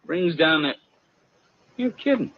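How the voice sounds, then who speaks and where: A slow talking chummy barkeep guy, kinda hesitant, muffled old radio show. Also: on the high side, lively intonation. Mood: chummy, impressed. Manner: hesitant, folksy, ungrammatical. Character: folksy, chummy, deferential hotel bartender. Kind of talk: 1950s radio drama acting. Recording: muffled vintage radio broadcast.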